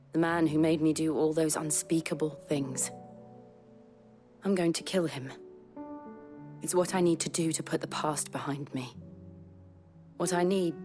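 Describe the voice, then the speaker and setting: An actress playing a grave, determined young woman in a video game, speaking in an earnest, measured way. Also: sits low, warm tone. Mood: solemn resolve. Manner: earnest, measured, solemn delivery. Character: grave, determined young woman, former captive seeking closure. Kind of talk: dramatic video game voice acting.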